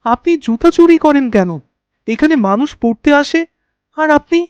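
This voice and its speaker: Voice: sits high, lively intonation, normal speed, a little mellow, dry recording, muffled recording. A performer's earnest cartoon child, dry sound. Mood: questioning. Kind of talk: cartoon voice acting.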